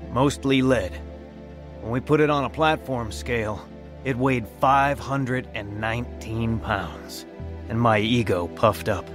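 A man's voice: lowish voice, lightly acted. A man acting as a bitter, hot-tempered working-class mechanic telling his life story in a radio drama, blunt and combative.

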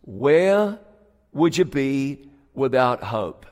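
A man preaching a sermon as pastor, stretching key words for emphasis and dropping to a hushed tone at solemn moments. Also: medium pitch, big pitch swings, drawn-out words. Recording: long hall reverb.

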